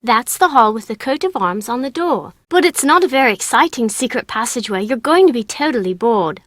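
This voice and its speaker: A woman's voice: a little high, lively intonation, fairly quick, a bit bright, no echo, studio quality. A woman voicing a young English girl for a video game, eager, bossy and lonely, with a childlike sing-song manner and a British accent. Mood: eager, coaxing. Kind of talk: video game character voice acting.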